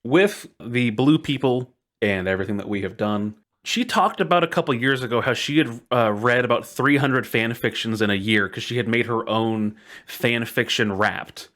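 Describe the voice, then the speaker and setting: A man's voice: mid-range voice, normal speed, fairly bright tone. A man hosting a casual podcast chat in a playful, jokingly ceremonious way. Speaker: podcast host.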